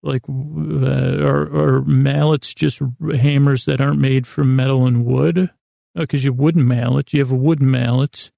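A man with a very creaky, gravelly voice and a dark, mellow tone, recorded close and dry. He rambles through an improvised sleep podcast monologue, halting, doubling back and musing on small absurdities. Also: medium pitch, flat delivery, no room sound. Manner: meandering, halting, often trails off and restarts; gently absurd, whimsical musing. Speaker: podcast host.

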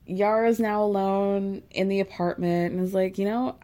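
A woman's voice: mid-range voice, steady tone, normal speed, mellow. A woman hosting a podcast, giving a casual, gossipy recap of a show with frequent laughs and filler words.

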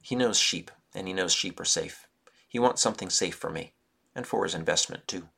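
An earnest young man talks plainly about his dreams, as a storyteller voices him. The voice is low, gravelly and husky. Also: normal speed, bright, forceful tone, heavily acted. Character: restless young man who longs to travel.

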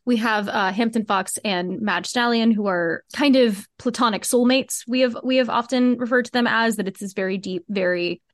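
A woman's voice: fairly high pitch, moderate pace, hardly any pauses, no echo. Earnest, emphatic woman, dry close sound. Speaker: podcast guest, fiction podcast co-creator. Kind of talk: conversational interview answers.

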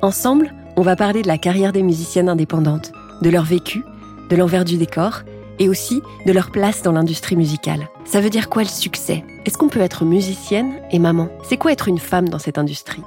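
A woman hosting a podcast, reading a scripted narration in a calm, measured way. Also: lowish voice, faint music behind.

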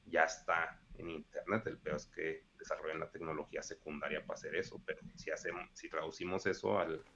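A man's voice: a bass voice, little pitch movement, brisk, gravelly, bright, forceful tone, dull audio, slight background noise. A man in his thirties with a Mexican accent, talking in a rambling, slangy casual chat. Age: 30s. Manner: slangy, rambling, peppers speech with "güey".

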